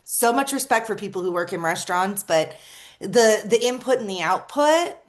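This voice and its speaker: A woman's voice: medium pitch, lively intonation. Blunt, emphatic woman co-host. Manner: blunt, emphatic, quick reactions. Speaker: podcast co-host. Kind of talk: casual reality TV gossip chat.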